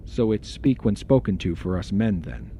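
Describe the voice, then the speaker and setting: An affable, curious starship commander played by a man with a low, mellow voice. He is wry and informal in a sci-fi audio drama, over faint background noise. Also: animated, normal speed, lightly acted. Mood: teasing. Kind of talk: fan audio drama acting.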